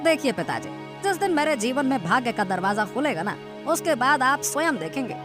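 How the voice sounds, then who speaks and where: Woman playing high-pitched cocky lazy young son. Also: very animated, brisk. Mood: boastful. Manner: boastful, dismissive. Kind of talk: animated moral story dialogue. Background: background music.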